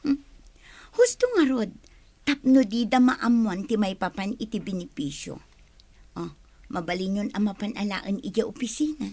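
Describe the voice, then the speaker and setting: A woman's voice: medium pitch, moderate pace, dark tone, no room sound, close to an everyday voice. A woman playing an attentive, deferential employee in a Philippine radio drama. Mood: sly, coaxing.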